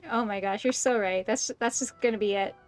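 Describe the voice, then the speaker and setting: A woman's voice: medium pitch, fairly even intonation, a little fast. A woman streaming a game live, chatting casually and reacting aloud in a playful, joking way. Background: game music.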